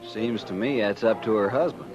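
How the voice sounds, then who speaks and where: A man's voice: lowish voice, normal speed, natural voice. A man playing a cocky, easygoing cowhand in a 1950s radio western, with a folksy, offhand way of talking. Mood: defiant. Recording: dull old radio recording, loud music.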